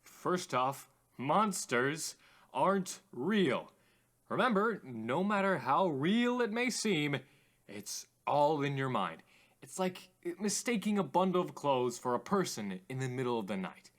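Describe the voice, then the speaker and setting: A man's voice: on the high side, big pitch swings, fairly bright tone. An actor in a radio drama playing an uneasy, hesitant male radio host. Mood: earnest, shaken. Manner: hesitant, halting. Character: uneasy radio host presenting a dead man's tapes.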